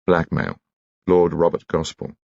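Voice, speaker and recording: A man's voice: sits low, steady tone, unhurried, gravelly, a little mellow, no room sound, muffled recording, heavily acted. A man voicing a reserved, dry-humored male detective inspector. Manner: dry, exasperated.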